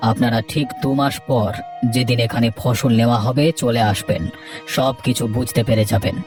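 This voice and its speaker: A man's voice: medium pitch, normal speed, close to an everyday voice. A man playing an earnest, firm village character in a cartoon, explaining things in a reasoning way. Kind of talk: animated cartoon dialogue. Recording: music bed behind the voice.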